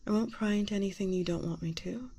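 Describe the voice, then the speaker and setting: A woman playing a soft-spoken, probing therapist in an ASMR roleplay, breathy and often whispering, soothing and deliberate with pauses. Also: medium pitch. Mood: soothing, professional.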